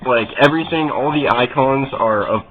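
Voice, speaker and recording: A man making a prank phone call as a customer, speaking in a halting, deadpan, mock-earnest way. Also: medium pitch. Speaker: prank caller. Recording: muffled narrowband phone call.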